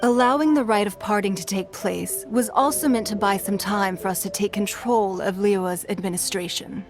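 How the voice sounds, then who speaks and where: A woman voicing a poised, shrewd female ruler in a fantasy video game, speaking in a formal, composed and measured manner. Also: mid-range voice, over music, close to an everyday voice. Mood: composed, resolute. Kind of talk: video game cutscene voice acting.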